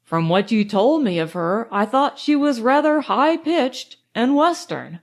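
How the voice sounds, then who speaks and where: A genteel older gentleman from an audiobook, voiced by a woman narrator. He is polite but opinionated and speaks with emphasis. The voice sits fairly high. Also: very animated, a little slow, exaggerated voice. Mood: admiring, insistent. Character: polite, opinionated older gray-haired gentleman, admiring and oblivious. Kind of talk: audiobook character dialogue.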